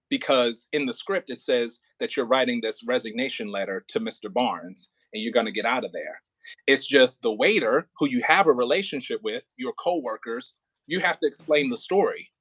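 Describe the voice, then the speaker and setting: A man coaching an actor casually as an audio play director, thinking aloud in halting, repetitive phrases and sounding encouraging. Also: mid-range voice, a bit bright, slightly rough, no echo.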